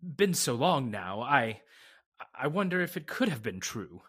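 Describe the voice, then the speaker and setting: Guy doing a serious formal lawyer in a radio play, husky voice that moves up and down a lot. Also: medium pitch, sing-song, measured pace, a bit bright, exaggerated voice. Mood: secretive. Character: grave, confiding male lawyer settling an estate. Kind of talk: old-time radio drama acting.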